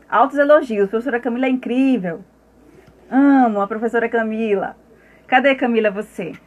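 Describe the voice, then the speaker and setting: A Brazilian woman with a high-pitched voice hosts an academic live stream. She talks informally and directly to her viewers, sometimes reading from prepared text.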